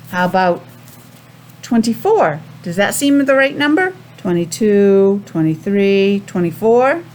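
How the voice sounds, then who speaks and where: An American woman teaching young children a simple lesson in a sing-song, questioning way with pauses. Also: mid-range voice, a little mellow, a little hiss or hum. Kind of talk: children's calendar and weather lesson.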